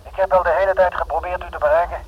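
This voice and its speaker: Husky shady phone informant. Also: male voice, sits high, steady tone. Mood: curt, menacing. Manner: curt, insistent, deliberate. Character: shady, demanding male informant selling secrets by phone. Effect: telephone filter. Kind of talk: radio drama acting. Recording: muffled tinny phone line.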